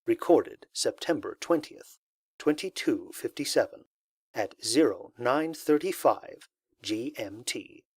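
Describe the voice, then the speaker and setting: A man playing a wry human astronaut captain in an audio drama, speaking in a dry, measured way. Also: mid-range voice, lively intonation, breathy edge, dry recording. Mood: wry, reflective. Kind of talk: audio drama narration as log entries.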